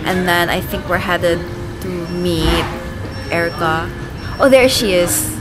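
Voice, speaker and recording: A young woman with a voice gone hoarse from screaming, chatting upbeat to a handheld camera while sightseeing. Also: lowish voice, measured pace. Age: young adult. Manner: chatty, upbeat, frequent giggles. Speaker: vlogger. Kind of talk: casual travel vlog to camera. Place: city streets, restaurant and observation wheel cabin. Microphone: camera held at arm's length. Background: loud background music.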